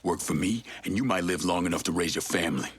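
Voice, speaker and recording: Low-voiced, menacing avenger. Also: male voice, fast, slightly rough, no echo. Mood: cold menace. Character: menacing man out for revenge, cold and controlled. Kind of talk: video game dramatic acting.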